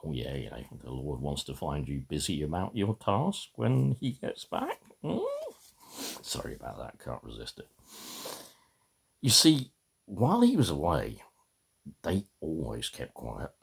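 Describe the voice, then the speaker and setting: Older guy, really deep croaky husky voice, slow and rambly, big swings in emphasis. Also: wildly swooping pitch. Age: middle-aged or older. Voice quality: gravelly, husky. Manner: emphatic, halting, with dramatic swells and drops. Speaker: video maker giving a reading. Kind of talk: rambling personal talk to camera.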